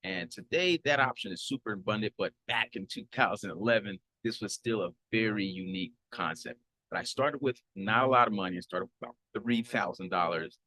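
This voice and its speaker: A man's voice: on the low side, a little fast, low-quality recording. A man in his thirties, from Haiti and raised in Florida, hosting a podcast and introducing himself in an upbeat, earnest way with frequent fillers and restarts. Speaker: podcast host.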